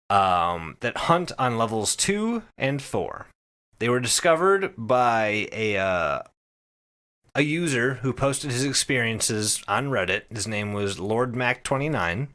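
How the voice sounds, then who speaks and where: A man hosting a podcast, explaining things casually and comedically to friends in an animated, sweary, conversational way. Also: mid-range voice, slow. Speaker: podcast host.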